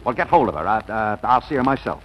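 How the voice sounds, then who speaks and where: A man's voice: lowish voice, very animated, fast, slight background noise. An actor playing a breezy, self-assured businessman giddy with infatuation in a 1940s radio comedy, on an old broadcast recording. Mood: insistent. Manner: exuberant, distracted, hums to himself.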